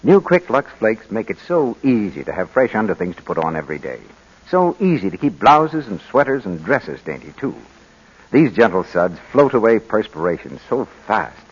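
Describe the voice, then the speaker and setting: Husky, lively 1940s male radio announcer. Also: on the low side, normal speed. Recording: muffled old radio broadcast recording.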